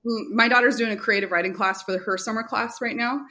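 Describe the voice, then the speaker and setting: A woman's voice: on the high side, steady tone, fairly quick, pushed and bright. A middle-aged woman giving chatty, casual interview answers as a company representative, often telling anecdotes and quoting dialogue. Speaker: guest, homeschool curriculum company representative.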